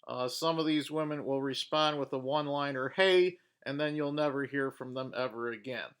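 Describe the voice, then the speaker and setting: A man hosting a reaction video, pausing clips to give blunt, judgmental commentary with frequent fillers. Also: medium pitch, clear, resonant tone. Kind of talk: commenting over paused clips.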